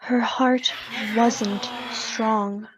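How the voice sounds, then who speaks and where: A woman's voice: a little high, steady tone, very slow, noisy background. A woman acting as a somber consoler offering condolences in a radio play.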